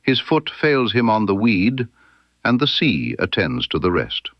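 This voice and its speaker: A man acts out a brilliant Victorian gentleman detective from an audiobook, coolly ironic and precise. His low voice swings expressively through each clipped deduction, in a dry, slightly dull recording. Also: sing-song, measured pace, no room sound. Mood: dry irony.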